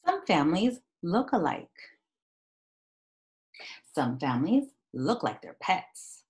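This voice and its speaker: A woman's voice: on the low side, big pitch swings, slow pace. A woman in her thirties speaking as a teacher to young children, reading a picture book aloud and talking about it in simple phrases. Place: small indoor room at home.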